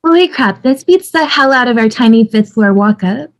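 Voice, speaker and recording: Upbeat young wife, read by a woman, high register, casual enthusiasm, sitcom script. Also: lively intonation, moderate pace, close to an everyday voice. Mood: excited. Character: upbeat young wife excited about inherited house.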